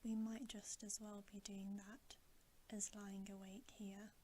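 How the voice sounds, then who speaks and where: Woman playing young storybook girl, worried, tender, earnest whisper, gentle-toned, slow. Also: medium pitch, little pitch movement, slight background noise, lightly acted. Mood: uneasy.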